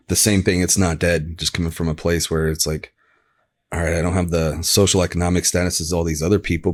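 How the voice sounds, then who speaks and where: Deep-voiced American man, candid and informal. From Arizona, United States. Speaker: podcast guest. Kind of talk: casual personal storytelling.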